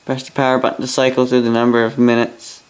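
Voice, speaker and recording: A man's voice: medium pitch, completely flat delivery, fast talker. A Canadian man giving a casual, rambling hobbyist product review, with grumbling asides and mild swearing.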